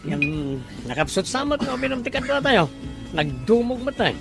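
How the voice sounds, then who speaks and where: A woman's voice: fairly high pitch, sing-song, moderate pace, creaky, over music. A woman playing a cheerful, teasing friend in an Ilocano audio drama.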